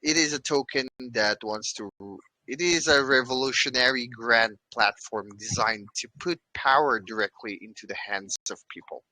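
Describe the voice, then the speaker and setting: A man hosting an online interview, asking deliberate questions. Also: mid-range voice, pushed and bright, no echo, rough recording. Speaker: host.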